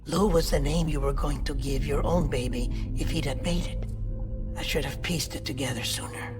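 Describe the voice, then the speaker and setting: A man playing an earnest, melancholy scientist in a cinematic video game, speaking in a measured, confessional way. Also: fairly high pitch, natural voice. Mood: somber, apologetic. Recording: music behind the dialogue.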